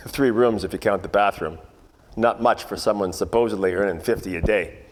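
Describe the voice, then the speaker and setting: An actor playing a wisecracking, world-weary 1940s private eye, narrating in a wry, hard-boiled radio-parody style. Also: male voice, lowish voice, fairly quick, lightly acted. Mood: weary, sardonic. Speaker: actor narrating the lead part. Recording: long hall reverb, music underneath.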